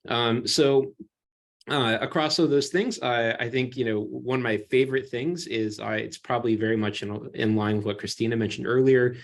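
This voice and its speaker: A man speaking as an academic research panelist, introducing himself on a webinar with frequent pauses and ums. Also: medium pitch, fairly even intonation, vocal fry. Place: remote webinar call.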